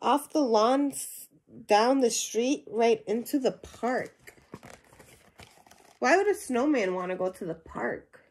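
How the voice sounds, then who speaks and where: A woman's voice: a little high, lively intonation, slow, pauses often, a little mellow, breathy edge. A young woman reading a children's picture book aloud, adding simple questions and asides for young listeners. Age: young adult. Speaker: storybook reader. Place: small indoor room at home.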